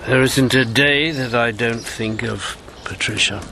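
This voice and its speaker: An actor playing a roguish, haunted old gentleman in a radio drama, with a gravelly voice and genteel old-fashioned slang. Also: medium pitch, measured pace, a little hiss or hum. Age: older. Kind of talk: broadcast radio drama acting.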